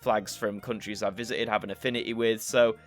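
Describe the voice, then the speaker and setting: A man's voice: fairly low pitch, fairly even intonation, fairly quick, soft background music. A young man with a mixed northern English, mostly Yorkshire, accent, chatting casually as he answers viewer questions and thinks aloud. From Yorkshire, England. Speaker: YouTube channel host. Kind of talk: casual Q&A chat.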